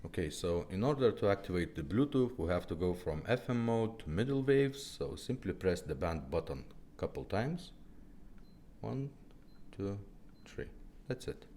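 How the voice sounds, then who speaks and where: Male demo presenter, very low voice with animated swings, plain step-by-step delivery, faint music. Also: normal speed, slight background noise. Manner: simple step-by-step explaining in short phrases. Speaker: car radio technician presenting a demo. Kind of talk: casual product demonstration.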